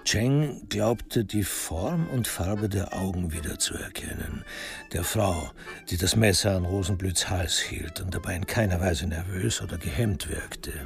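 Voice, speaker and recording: A man reads literary crime narration for a radio drama over music. His voice is low with a gravelly creak, and his delivery is measured and precise.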